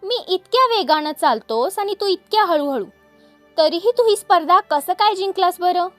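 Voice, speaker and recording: A woman's voice: extremely high pitch, lively intonation, normal speed, a bit bright, soft background music, voice pushed up. A woman voicing a proud, boastful male hare with a cocky, challenging manner in a children's story. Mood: baffled.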